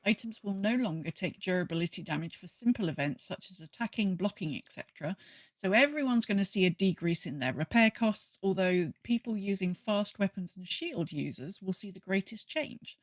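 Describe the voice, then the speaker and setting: Female podcast co-host, chatty explainer, mid pitch, very dry close recording. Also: expressive, normal speed, no room sound. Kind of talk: casual gaming podcast chat.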